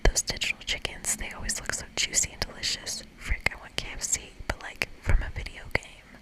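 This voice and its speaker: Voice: a little fast, close to an everyday voice. Whispering boisterous gamer tough played by ASMR reader. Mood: musing, goofy. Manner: excitable, slangy, casual.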